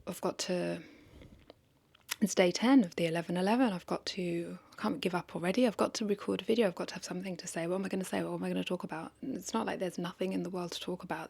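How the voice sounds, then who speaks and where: A woman with a lowish voice and plenty of vocal fry talks to the camera in a casual, confessional way. She is hesitant and reflective, circling back over her own phrases as she questions herself. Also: darkish tone. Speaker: vlogger.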